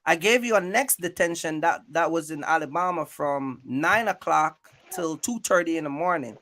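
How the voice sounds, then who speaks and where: A man's voice: on the high side, animated, normal speed, bright, forceful tone. A man hosting a casual interview in a rambling, repetitive way, using streetwise slang and checking in often with his listener. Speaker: YouTube channel host interviewing a guest. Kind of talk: casual interview chat about trucking.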